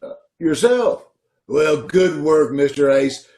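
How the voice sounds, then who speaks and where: A man's voice: a little high, animated, slow, darkish tone. A man playing a pompous middle-aged boss who speaks in proverbs, old-time radio comedy style, recorded over a video call. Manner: pompous, preachy, fond of sayings. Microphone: home mic on a video call. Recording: echoey home room over a video call.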